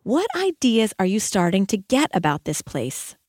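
A woman educator reading her own audiobook as scripted narration, with measured, emphatic phrasing and deliberate pauses. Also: medium pitch, big pitch swings, a little mellow, no echo, clean studio sound.

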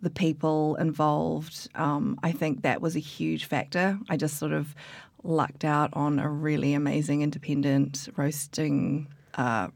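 A woman from New Zealand talks casually about her work, her low voice stumbling through fillers and restarts. The recording is dry and close, with no room around her. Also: fairly even intonation, a little slow, vocal fry, hardly any pauses, darkish tone, no echo. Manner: chatty, many fillers and restarts. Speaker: coffee expert guest. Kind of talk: casual interview chat.

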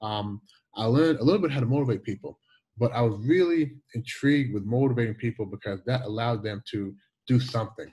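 Husky-voiced Jamaican man with a slight lisp. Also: mid-range voice, fairly quick, dull audio. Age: 40s. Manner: animated, repeats words and restarts, laughs easily. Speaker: guest. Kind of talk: conversational storytelling interview.

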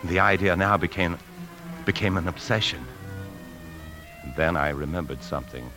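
An American actor playing a scheming, secretive married bank man in a 1950s radio drama, brooding and deliberate. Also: low voice, over music. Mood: brooding, obsessed. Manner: brooding, deliberate, hesitant when lying. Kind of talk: 1950s radio drama acting and confessional narration. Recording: 1950s radio broadcast recording.

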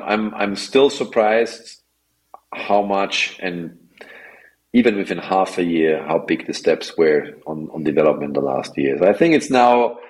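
Deep-voiced Austrian man, hesitant. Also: rough recording. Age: 40s. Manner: hesitant, many fillers and restarts. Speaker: guest, wingfoil tour director. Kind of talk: casual podcast interview.

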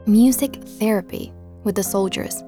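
A woman playing an earnest investigative journalist, narrating a podcast-style audio drama in a measured, inquisitive way. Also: mid-range voice, music in the background, natural voice. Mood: measured, informative. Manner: inquisitive, measured storytelling with rhetorical questions.

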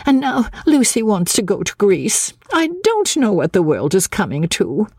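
Fussy middle-aged mother, voiced by a woman narrator, with swooping pitch. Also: wildly swooping pitch, normal speed, darkish tone, slightly rough, no room sound, studio quality, full character voice. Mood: grumbling, conceding.

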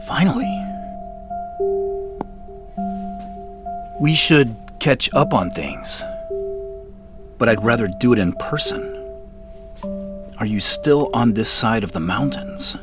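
An actor playing a hesitant, apologetic professional man in a naturalistic audio drama, with a halting, awkward way of talking. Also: mid-range voice, lively intonation, music in the background, lightly acted. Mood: agitated, then quiet. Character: Joseph, hesitant, apologetic middle-aged professional man with dad jokes.

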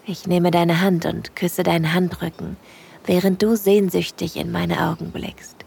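A woman playing a smitten hiker who narrates her date intimately in a breathy, playful way for an erotic audio drama. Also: lowish voice, level tone, unhurried, darkish tone, exaggerated voice. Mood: tender, intimate.

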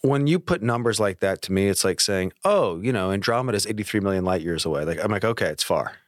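A man's voice: sits low, animated, fast talker, no room sound, clean studio sound. A man co-hosting a podcast in casual conversation, informal and a little wry. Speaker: podcast co-host.

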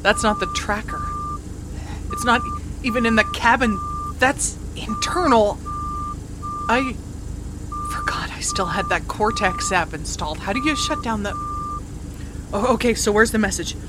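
Noisy vehicle cabin, fed-up sweary woman driving a rover, sarcastic muttering, very animated pitch, audio drama. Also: a little slow, long pauses. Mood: startled, confused. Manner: irritated, sarcastic, muttering to herself. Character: exasperated, sweary woman rover driver searching alone. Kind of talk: naturalistic audio drama acting. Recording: clear vehicle noise, rover cabin ambience.

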